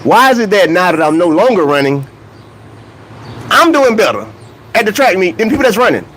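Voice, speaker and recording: A man's voice: high voice, sing-song, fairly quick, a bit bright. A young man rants in a casual, comedic way about track and field. He laughs between points and keeps asking rhetorical questions.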